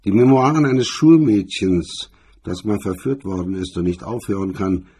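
A man playing a cool, hard-edged blackmailer in a crime radio drama, speaking with cold, taunting calm in a husky, rough voice. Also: lowish voice, moderate pace. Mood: cold, insistent.

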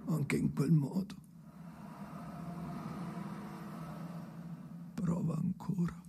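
An actor playing a bitter, anguished man speaking to his dead father in a literary radio drama, in halting short phrases, husky and gravelly, often sinking into a whisper. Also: a little high, steady tone. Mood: lonely, weary.

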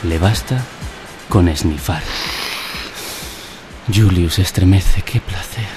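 An actor with a Spanish accent from Spain playing a deadpan narrator in a surreal satirical radio drama, speaking in clipped, declamatory phrases. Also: male voice, sits low, slow. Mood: ironic, intense. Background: music behind the voice.

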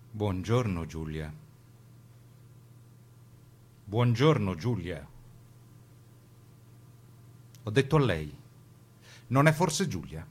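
Man acting a condescending fascist interrogator, slightly husky. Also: lowish voice, full character voice. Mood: coldly polite. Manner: condescending, insinuating. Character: menacing fascist interrogator, coldly polite, mocking. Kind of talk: stage radio drama dialogue.

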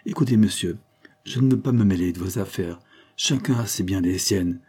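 A man voicing a wary male neighbour, a musician, in an audiobook, plain-spoken, measured and firm. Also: sits low, mellow, breathy edge. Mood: chatty, wary. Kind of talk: audiobook character dialogue.

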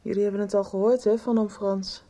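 A woman's voice: medium pitch, fairly even intonation, brisk, mellow, natural voice. A woman playing a troubled, withdrawn young person in a radio soap, hesitant and evasive.

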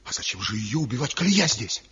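A man's voice: medium pitch, sing-song, quick pace, fairly bright tone, slight background noise. An actor playing a passionate, reckless rival master craftsman in a 1970s radio play, speaking fervently and theatrically. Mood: anxious. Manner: fervent, theatrical, swings to ecstatic cries. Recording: dull lo-fi old radio recording.

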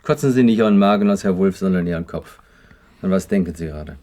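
An actor playing a detached, insistent psychotherapist in a radio play, putting measured, clinical questions to a patient. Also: male voice, sits low, lively intonation, mellow. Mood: probing, insistent. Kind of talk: 1980s German radio play acting.

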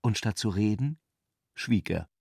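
A man reading literary narration for a radio play in a measured, deliberate and sober manner. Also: fairly low pitch, gravelly, a little mellow, husky, no room sound, studio quality.

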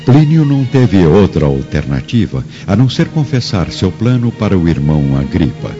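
A man's voice: deep voice, very animated, normal speed. A man narrating a radio drama from a script in a solemn, theatrical way. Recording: muffled audio with faint music.

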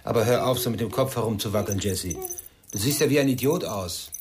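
A man's voice: fairly low pitch, quick pace, a little hiss or hum, close to an everyday voice. A man acting a stern, easily irritated husband and father in a German radio play, speaking matter-of-factly and curtly.

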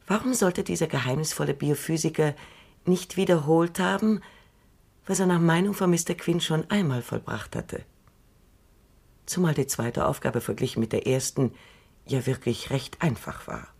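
An actress playing Doctor Calvin, a shrewd, dry and assured elderly woman robot psychologist, speaking in a measured, knowing way in a radio drama. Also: low voice, vocal fry, darkish tone, slightly rough, full character voice. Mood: wry.

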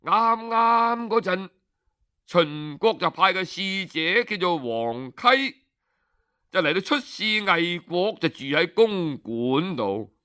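Slow, high-voiced male storyteller. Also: very animated, bright, forceful tone, smooth. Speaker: storyteller, audiobook narrator. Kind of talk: traditional Cantonese oral storytelling narration.

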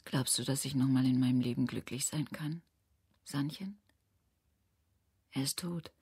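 A tender woman worn down by grief speaks in a radio play. Her voice is deep and extremely breathy, resigned and intimate, in a dry, close recording. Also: measured pace, a little mellow, dry recording, exaggerated voice. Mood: grieving, hushed. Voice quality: husky, breathy. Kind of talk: intimate radio drama dialogue.

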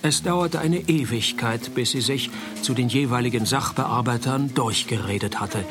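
An actor playing a wry, deadpan male private detective who narrates and talks through a noir parody radio play. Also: medium pitch, moderate pace, music in the background, natural voice. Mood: deadpan narrating. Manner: dry, sardonic, laconic.

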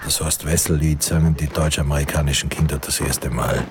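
An actor playing a grave, literary narrator of a war story, telling it with measured emphasis in a radio drama. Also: male voice, a bass voice, fairly even intonation. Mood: grave, knowing. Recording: clear noise behind the narration.

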